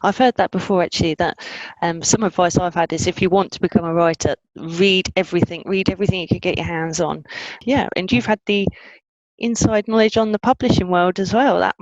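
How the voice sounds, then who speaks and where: A woman's voice: lowish voice, steady tone, fairly quick, no echo. A woman hosting a book interview, on a dull, lo-fi recording. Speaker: interview host. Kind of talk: friendly structured book interview. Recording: dull, dry, lo-fi sound.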